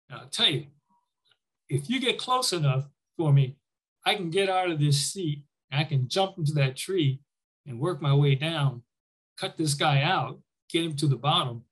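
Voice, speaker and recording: Older man with a higher-pitched voice, reminiscing. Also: animated, moderate pace, breathy edge, low-quality recording. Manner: informal storytelling, acting out remembered dialogue. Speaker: military veteran, former Army air traffic controller, interviewee. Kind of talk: conversational war reminiscence.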